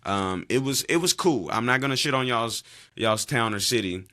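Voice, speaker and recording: A man's voice: mid-range voice, expressive, moderate pace, pushed and bright, no room sound, clean studio sound. A man in his thirties hosting a podcast in casual chat, rambling and cursing freely, often trailing off mid-sentence. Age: 30s. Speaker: podcast host.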